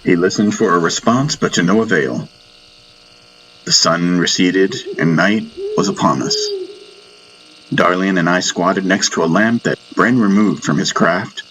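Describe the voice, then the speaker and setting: Low-voiced man narrating a fantasy audiobook. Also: unhurried, a bit bright, a little hiss or hum, rough recording. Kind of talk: scripted first-person fantasy audiobook narration.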